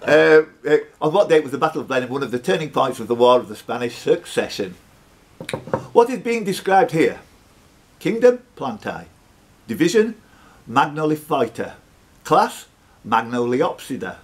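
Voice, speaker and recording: A man's voice: medium pitch, very animated, moderate pace. A man in his fifties hosting a live pub quiz, reading out questions with jokey, teasing banter. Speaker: quiz master. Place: social club bar room.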